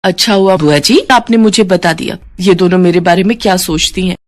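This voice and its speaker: A woman's voice: fairly low pitch, brisk, close to an everyday voice. A woman voicing a proud working daughter-in-law, indignant and childishly argumentative, in a family story. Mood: offended.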